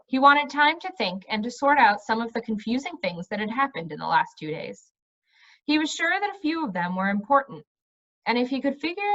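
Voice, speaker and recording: A woman's voice: on the high side, fairly bright tone, dull audio. A woman narrating a storybook aloud.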